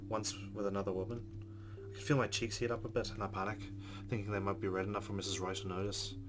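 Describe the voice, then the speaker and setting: A man's voice: lowish voice, little pitch movement, fast, music in the background. A man playing a visual novel, reading the story narration aloud in a casual, chatty way with his own comments. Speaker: let's play gamer.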